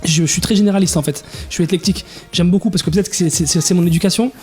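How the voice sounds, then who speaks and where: A man's voice: sits high, racing, darkish tone, soft background music. Hesitant young man in his twenties. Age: 20s. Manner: hesitant, many restarts and fillers. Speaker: DJ guest. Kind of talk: casual interview chat.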